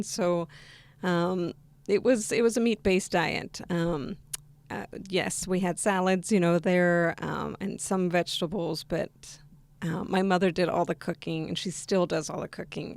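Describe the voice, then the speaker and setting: A woman's voice: on the low side, gravelly. A young woman from Argentina, a podcast guest, answering in a hesitant conversational way with many ums and restarts. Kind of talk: conversational interview. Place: studio table across from host.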